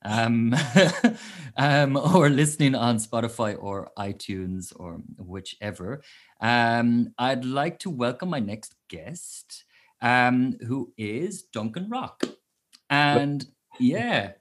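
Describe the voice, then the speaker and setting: A man's voice: medium pitch, expressive. A man hosting a singing podcast, introducing his guest in a casual, hesitant way full of "um"s. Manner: hesitant, lots of "um", quick laughs. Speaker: podcast host.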